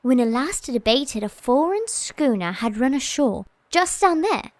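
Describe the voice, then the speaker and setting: An actress playing a blunt, lively young Englishwoman in an audio drama, talking in a playful, breathless way. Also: high-pitched, a little slow, no room sound. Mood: uneasy.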